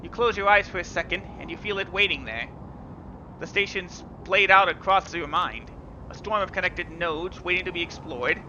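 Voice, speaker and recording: A man's voice: sits high, normal speed, noisy background. A man hosting a gaming video, reading game text dramatically with casual asides. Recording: dull lo-fi sound with game music.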